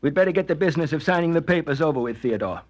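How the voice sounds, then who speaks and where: A man's voice: a little high, lively intonation, rapid-fire, close to an everyday voice. A man acting as a fussy, condescending family lawyer in 1940s radio style, speaking in a prim, formal, insinuating way. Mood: brisk, formal.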